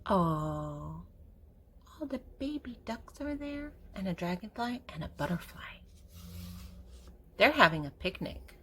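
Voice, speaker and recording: Slow-paced older woman reading to children. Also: mid-range voice, sing-song, slight background noise. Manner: gentle, unhurried, addressing young listeners directly. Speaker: host reading picture books to children. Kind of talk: read-aloud children's storytime with singing.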